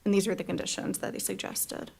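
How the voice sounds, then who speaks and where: A woman's voice: fast talker, a little mellow. A woman on county staff giving matter-of-fact answers to board questions at a public hearing. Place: county board meeting room.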